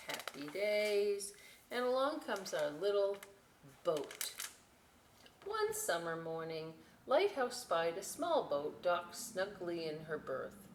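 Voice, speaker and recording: A woman reading a picture book aloud as a storytime host, enthusiastic and expressive, with dramatic emphasis and chatty asides. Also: medium pitch, takes it slowly. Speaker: library storytime reader. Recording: roomy echo, clear background noise.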